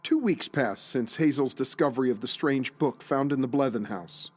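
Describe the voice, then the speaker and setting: A man's voice: medium pitch, moderate pace, faint music behind. A man reading scripted story narration as the narrator of an audio drama, in a solemn, literary storytelling manner.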